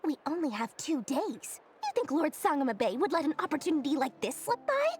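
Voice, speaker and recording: Tiny shrewd merchant girl, high voice, lively swings, playful, self-important, game character, music behind. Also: brisk. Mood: gleeful, boastful. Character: small, boastful, money-minded merchant girl, playful and shrewd. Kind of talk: animated video game character acting.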